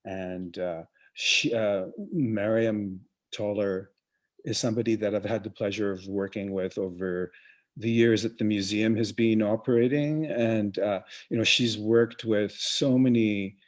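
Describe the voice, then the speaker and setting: Relaxed lower-voiced man, co-host. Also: measured pace. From Congo, raised in Uganda. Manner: relaxed, many fillers and trailing phrases. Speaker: co-host, museum programmer. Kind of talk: casual live-stream chat.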